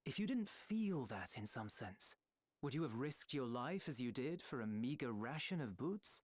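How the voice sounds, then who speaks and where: A man's voice: medium pitch, big pitch swings, moderate pace, dry recording. A man playing an earnest young companion in a fantasy video game, reassuring and sincere in his delivery. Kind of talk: video game dramatic acting. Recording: dry studio voice over game music. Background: game music.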